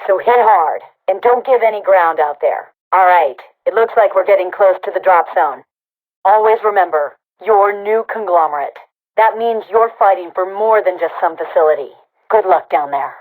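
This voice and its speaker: An actor playing a brisk, no-nonsense female military overseer in a sci-fi shooter game, speaking with clipped authority through a radio comms filter. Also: mid-range voice. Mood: encouraging, firm. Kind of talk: scripted game announcements and briefings. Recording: tinny narrowband radio transmission.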